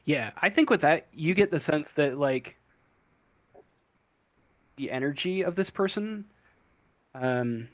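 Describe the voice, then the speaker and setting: A man narrating an improvised tabletop game as game master, halting and searching for words. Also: on the high side, lively intonation, no room sound.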